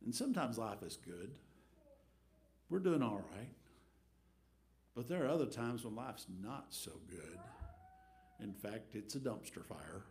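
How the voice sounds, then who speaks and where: A middle-aged man preaching a storytelling sermon from a church pulpit, swinging between big acted-out crowd voices and quiet asides. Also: fairly low pitch, big pitch swings, a little slow, long pauses. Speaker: pastor. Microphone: headset mic.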